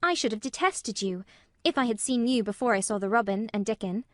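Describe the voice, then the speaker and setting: A woman's voice: a little high, lively intonation, a little fast, fairly bright tone, no room sound, clean studio sound. A woman voicing an earnest, blunt young girl in a storybook, speaking frankly and emphatically. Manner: frank, matter-of-fact, emphatic. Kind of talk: acted storybook dialogue.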